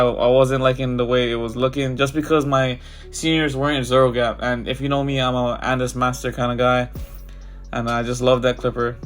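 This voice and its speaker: A barber with a congested, stuffy voice, talking casually over his haircut tutorial. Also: mid-range voice. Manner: casual, loose, filler-heavy walkthrough. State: congested from a cold.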